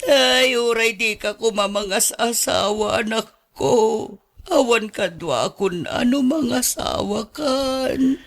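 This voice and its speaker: A woman's voice: fairly high pitch, takes it slowly, gravelly, full character voice. A clingy, sarcastic elderly mother. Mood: pleading, fretful. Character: doting, possessive elderly mother, sarcastic toward her daughter-in-law. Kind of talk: radio drama acting in Ilocano.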